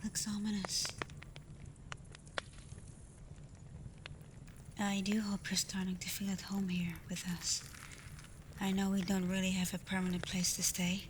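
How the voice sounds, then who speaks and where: A woman voicing a caring alpha werewolf in a soft, breathy ASMR roleplay, gentle and reassuring, confident but privately unsure. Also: mid-range voice, moderate pace, close to an everyday voice. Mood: calm, reassuring. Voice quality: soft, breathy, often near a whisper. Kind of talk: ASMR roleplay, soft intimate talk to the listener. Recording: clear steady ambient noise.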